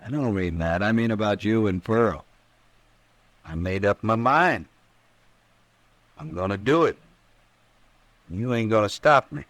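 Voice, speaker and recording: An actor playing stubborn, folksy frontier men in a 1950s radio western, with an American rustic drawl and plain country talk. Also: male voice, on the low side, expressive, measured pace, long pauses, fairly bright tone, no echo. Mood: defiant.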